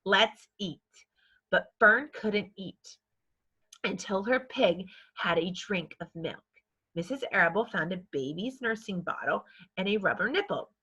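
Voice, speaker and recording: A woman reading a children's story aloud in a measured, scripted narrating style. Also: medium pitch, lively intonation, bright, forceful tone, husky, lo-fi sound. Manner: measured, acts out dialogue. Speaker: storybook reader.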